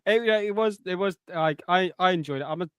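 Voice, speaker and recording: A man's voice: sits high, fairly quick, fairly bright tone, smooth, no room sound, clean studio sound. A man chatting casually as a panelist on a pop-culture podcast.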